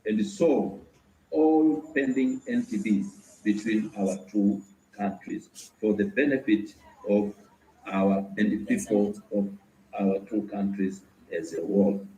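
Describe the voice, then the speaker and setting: A man speaking as an official at a formal meeting, in deliberate, broken phrases. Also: on the low side, mellow. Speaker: government official co-chairing a meeting. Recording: echoey meeting room, faint noise.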